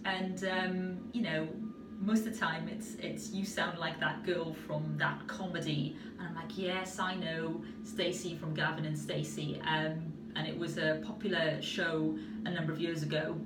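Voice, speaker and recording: Welsh valleys woman, low voice, rambly chatty answer, echoey room, mic far off, loud hiss. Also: little pitch movement, measured pace. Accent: South Wales Valleys. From Wales. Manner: chatty, many fillers and restarts. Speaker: video answerer. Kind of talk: casual spoken answer. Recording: roomy echo, far from mic, loud noise.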